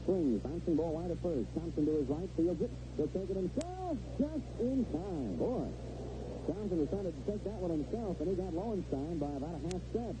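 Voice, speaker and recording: A man's voice: high voice, sing-song, moderate pace. A man calling baseball play-by-play live on a noisy vintage seventies radio broadcast. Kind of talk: live sports commentary.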